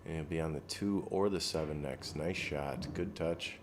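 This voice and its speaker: Pool match commentator, male, very low voice, easygoing shot-by-shot talk, faint hum behind. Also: normal speed. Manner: relaxed, explains shots, dry joking.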